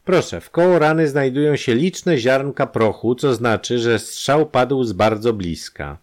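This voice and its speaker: A man's voice: mid-range voice, expressive, unhurried, pitched above the performer's own voice, close to an everyday voice. A man voicing a brusque, impatient, experienced male doctor in a crime audio drama. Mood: explaining. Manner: curt and insistent.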